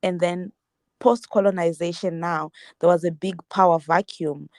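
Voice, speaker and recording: Female guest, lowish voice, thoughtful, hedges often, dry sound. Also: fairly even intonation, normal speed, darkish tone, no room sound. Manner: thoughtful, hedging with fillers. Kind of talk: casual live discussion.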